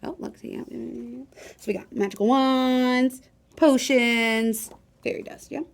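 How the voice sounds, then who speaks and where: A woman's voice: on the high side, fairly even intonation, unhurried, gravelly. A woman hosting a children's storytime, reading a picture book expressively and chatting directly to her young listeners. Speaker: library storytime host and reader.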